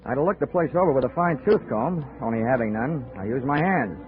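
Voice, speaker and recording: An actor playing a wry, tough, slangy insurance investigator in a 1940s radio detective drama. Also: male voice, mid-range voice, expressive, brisk, lightly acted. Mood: wry narrating. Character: wry, hard-boiled male insurance investigator. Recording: tinny 1940s radio recording.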